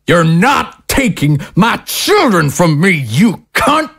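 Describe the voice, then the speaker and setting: A woman's voice: on the low side, big pitch swings, slow, creaky, fairly bright tone, husky, full character voice. A woman playing an angry, possessive husband in an audio drama, indignant and confrontational. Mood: enraged. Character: angry, possessive husband and father in a marital fight. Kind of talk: screenplay-style audio drama acting.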